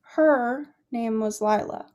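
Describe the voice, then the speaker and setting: A woman's voice: on the high side, slow, darkish tone. A young woman in a staged play reading, acting a guarded, lovesick girl with a hesitant, confessional delivery.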